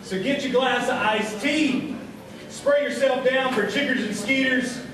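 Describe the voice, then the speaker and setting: An actor playing a folksy, showmanlike small-town Oklahoma announcer in a comic old-time radio revue. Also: male voice, very high-pitched, a little slow, a bit bright. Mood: folksy, upbeat. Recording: echoey stage recording, distant mic, background noise.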